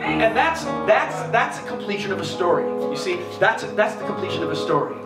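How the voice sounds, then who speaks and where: A man's voice: high-pitched, sing-song, fairly quick. A man preaching theatrically on stage into a handheld mic, fervent and rousing, repeating himself as he builds. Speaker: performance preacher, host. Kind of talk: theatrical sermon. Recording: echoey hall, distant mic, loud music.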